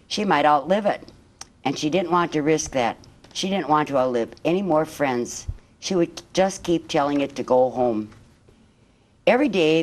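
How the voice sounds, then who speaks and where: A woman reading a children's picture book aloud as a guest storyteller, in measured, expressive phrases. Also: very deep voice.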